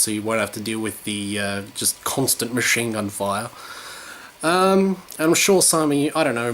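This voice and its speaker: A man's voice: mid-range voice, a bit bright, a little hiss or hum. Sweary rambling man. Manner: rambling, sweary, self-mocking. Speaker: vlogger. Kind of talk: casual vlog chat.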